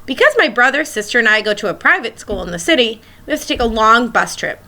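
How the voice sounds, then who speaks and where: A woman's voice: on the high side, sing-song, fast talker, sharp tone. A woman schoolteacher reading a children's picture book aloud, expressively and as if speaking directly to young listeners.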